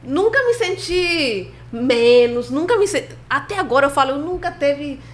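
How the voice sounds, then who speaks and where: A young woman with a Spanish accent and Spanish as her first language, telling her story as a podcast guest in an animated way and acting out dialogue. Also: sits high, normal speed. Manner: animated, laughs often, acts out dialogue. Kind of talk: casual storytelling interview.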